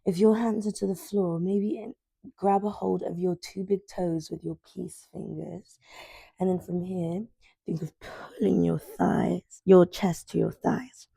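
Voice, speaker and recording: A woman in her twenties guiding a morning stretching class, cueing softly and unhurriedly as she moves. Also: on the low side, fairly even intonation, measured pace, vocal fry, breathy edge, no echo. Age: 20s. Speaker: yoga instructor. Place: sunlit living room with large windows.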